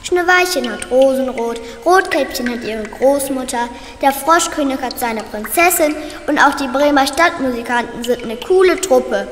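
A woman's voice: high-pitched, lively intonation, moderate pace, few pauses, a little hiss or hum. A woman voicing a lonely sulky little dwarf. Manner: grumbling, defensive, then sheepish. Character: lonely jealous dwarf, small, sulky and defensive. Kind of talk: children's radio play acting.

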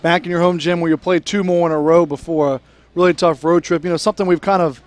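A man in his thirties with a fairly high voice hosts a postgame interview. He frames long, conversational questions into a headset mic in a small studio booth. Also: steady tone, fast talker, no room sound. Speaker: sports broadcaster.

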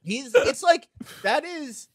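A man's voice: very high-pitched, expressive, slow pace, no echo, studio quality. A man co-hosting a podcast, riffing sardonically in casual, profane political banter full of "like". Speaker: podcast co-host.

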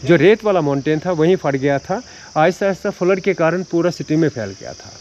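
A man's voice: on the high side, lively intonation, fairly quick, darkish tone, lo-fi sound, slight background noise. A man working as a local guide, explaining the history of the ruins outdoors, calling the listener sir and listing dates and dynasties.

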